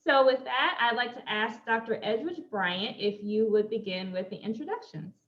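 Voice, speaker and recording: A woman's voice: a little high, normal speed, fairly bright tone, echoey room, muffled recording. A woman moderating an online panel discussion, introducing speakers and explaining procedure.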